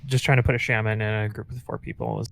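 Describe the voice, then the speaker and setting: A man helping assign groups in casual gaming voice chat, halting as he works through logistics aloud. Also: fairly low pitch, little pitch movement, vocal fry, fairly bright tone. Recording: faint noise on gaming voice chat.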